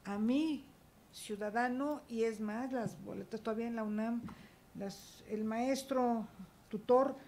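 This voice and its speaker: A woman's voice: a little high, a little slow, warm tone, slight background noise. A woman panelist speaking emphatically, checking in with rhetorical questions. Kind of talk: academic panel talk. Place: university auditorium panel.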